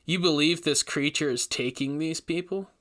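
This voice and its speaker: Guy playing a curious oddity investigator in a story, probing, a bit impatient, bright voice. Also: a little high, moderate pace, lightly acted. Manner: probing, interested. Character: inquisitive, slightly impatient male investigator of oddities.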